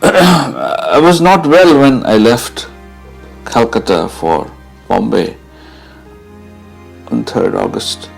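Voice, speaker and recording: A man narrates a first-person account in a dramatised audiobook style. His delivery is slow and deliberate, broken into careful phrases. The voice has a creaky grain, and music plays faintly beneath it. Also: medium pitch, animated. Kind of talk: dramatised audiobook reading of a memoir.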